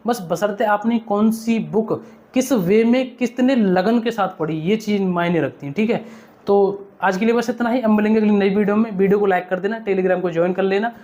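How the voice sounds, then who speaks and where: A man's voice: sits high, a little mellow. A young man, speaking informally and earnestly to viewers like a mentor, repeating points and often checking they follow. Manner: earnest, repetitive, uses frequent check-in tags. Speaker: exam-update video creator and mentor. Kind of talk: informal advice vlog to viewers.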